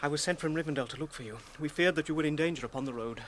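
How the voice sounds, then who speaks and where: An actor playing a noble, resolute elf lord in a radio drama, speaking formally and earnestly. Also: male voice, on the high side, rapid-fire, a little hiss or hum, natural voice. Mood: urgent, courteous.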